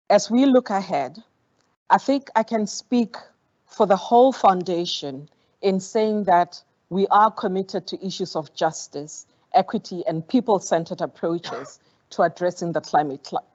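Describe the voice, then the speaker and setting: A woman whose first language is Setswana, giving a measured, formal conference speech as a foundation program director. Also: fairly low pitch, darkish tone, muffled recording. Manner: measured, formal, with occasional stumbles. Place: conference hall.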